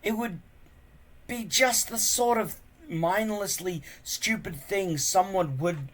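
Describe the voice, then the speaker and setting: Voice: mid-range voice, slow, pitched above the performer's own voice, natural voice. A reader voicing an earnest, eager, hapless boy hero in a children's storybook, with a raised voice. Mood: anxious, reasoning.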